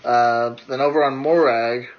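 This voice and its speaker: A man hosting a movie review, recounting a plot in relaxed, slangy, profane conversation. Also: medium pitch, measured pace, slight background noise. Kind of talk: casual chat, retelling a film plot. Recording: slightly dull lo-fi sound.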